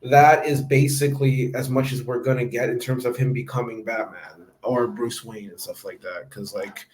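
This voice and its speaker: A man hosting a casual movie review chat, talking quickly and rambling with fillers and restarts. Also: medium pitch, steady tone, reverberant, rough recording.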